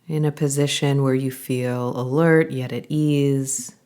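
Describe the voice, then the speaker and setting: A woman guiding a body scan meditation, giving calm, gentle instructions in an unhurried way with pauses. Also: rumbling low. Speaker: meditation guide.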